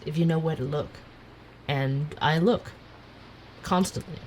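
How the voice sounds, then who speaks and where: A man's voice: fairly high pitch, slight background noise, close to an everyday voice, low-quality recording. An actor playing an earnest, curious young man who hosts an amateur podcast, narrating an audio drama in a musing way. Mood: eager, chatty.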